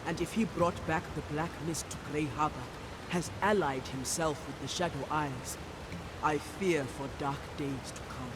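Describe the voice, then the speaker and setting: A woman voicing a proud, devout warrior priestess in a video game, speaking in a measured, grave and authoritative way. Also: low voice, background noise, lightly acted. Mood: troubled. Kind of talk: dramatic video game voice acting. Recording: studio voice under loud game music.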